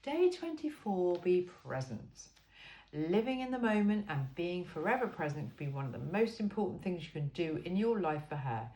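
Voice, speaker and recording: A woman's voice: fairly low pitch, big pitch swings, normal speed, dark tone. A woman reading an informal self-help book aloud in an emphatic, cheeky and frank way. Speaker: amateur reader of a book aloud.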